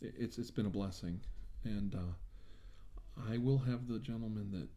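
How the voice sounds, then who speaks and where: A man doing an improvised, soft-spoken ASMR role-play as a doctor, talking in a reassuring, halting way. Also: lowish voice. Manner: reassuring, hesitant, halting delivery. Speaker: ASMR role-player acting as a government doctor.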